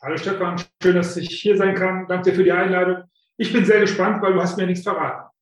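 A man in his fifties with a high-pitched voice tells his story in a chatty, self-deprecating way, often pausing on filler sounds. He is heard in a casual interview, and his voice rings out in a strongly echoing room. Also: flat delivery, dull audio. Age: 50s. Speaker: guest, author coach. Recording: very echoey room.

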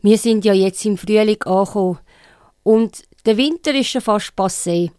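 A woman's voice: medium pitch, normal speed, a little mellow, smooth, dry recording, faint music behind. A woman with a Swiss German accent hosting a conversational interview. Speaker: radio interview host.

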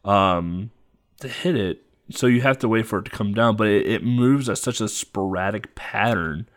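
A man with a lowish voice talks through a retro game boss fight in a loose, rambling way. He fills gaps with ums and breaks into laughs often. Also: normal speed. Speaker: game commentator. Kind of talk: casual retro game playthrough chat.

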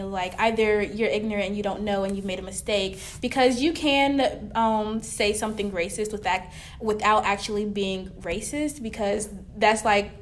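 A woman's voice: medium pitch, a little hiss or hum. A young woman co-hosting a casual roundtable discussion, rambling and sometimes searching for words. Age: young adult. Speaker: staff writer and podcast co-host.

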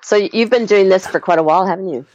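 A woman's voice: medium pitch, fast talker, a little mellow, dry recording, muffled recording. A woman from Canada with a mixed Canadian and Australian accent, chatting as an interview guest and telling her story with lots of fillers. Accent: Canadian with Australian tinge. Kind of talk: casual conversational interview.

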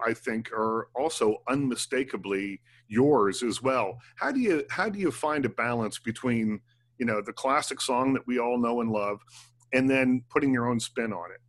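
A man hosting an interview, reading a smooth scripted introduction and then asking conversational questions. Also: medium pitch. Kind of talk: radio interview.

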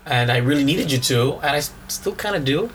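A hoarse man co-hosting a podcast in casual chat, rambling and restarting his sentences. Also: a little high, lively intonation, fairly quick, a bit bright, slight background noise. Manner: rambling, repeats words and restarts often. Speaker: podcast co-host.

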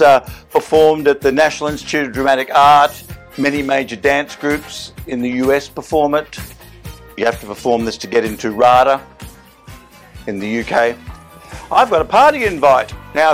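Lively, chatty man presenting. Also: fairly high pitch. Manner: chatty, enthusiastic, playful asides. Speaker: host presenting a film-night special. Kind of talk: casual upbeat presenting.

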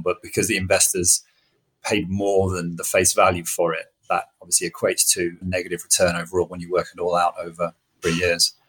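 Quick-talking, husky male host. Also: deep voice, a bit bright, poor audio. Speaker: podcast host. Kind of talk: conversational interview.

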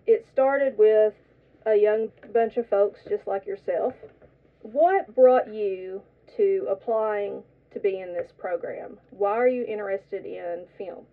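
A woman's voice: mid-range voice, takes it slowly, far from the mic. A woman hosts a radio talk show, asking her young guests curious, friendly questions. She sits a little away from the mic, and the sound is narrow and phone-like. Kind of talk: radio interview. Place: radio studio.

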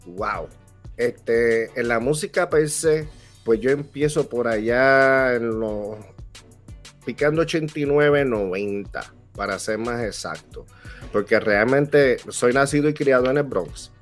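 A man with a Puerto Rican accent, a music producer talking as an interview guest in lively, slangy street talk. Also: mid-range voice, takes it slowly. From Puerto Rico. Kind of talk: casual interview.

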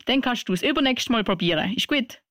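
A woman's voice: on the high side, lively intonation, fairly quick, a bit bright, no echo, clean studio sound. A woman co-hosting a radio show, giving encouraging instructions in casual banter.

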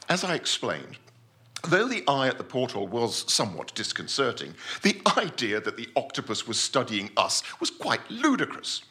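A man playing a pompous, self-assured gentleman scientist telling his adventure in a theatrical story reading. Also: medium pitch, huge pitch swings, normal speed. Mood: self-assured, enthusiastic. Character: pompous, obsessive Victorian-style gentleman scientist recounting his deep-sea adventure.

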